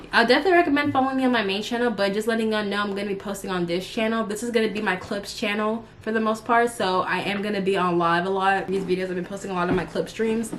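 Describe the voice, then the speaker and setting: Young woman rambling candidly over background noise. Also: mid-range voice. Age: early 20s. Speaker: YouTuber and live streamer. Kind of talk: casual unscripted chat to followers.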